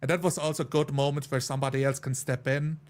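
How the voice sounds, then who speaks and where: A man in a casual discussion as a game player, talking haltingly as he thinks aloud. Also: mid-range voice, fairly even intonation, darkish tone. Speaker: tabletop role-playing player.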